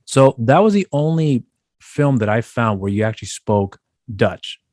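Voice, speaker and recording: A man's voice: mid-range voice, animated, measured pace, a little mellow, dry recording, clean studio sound. A man hosting an interview show, asking long, rambling questions with restarts. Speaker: podcast host.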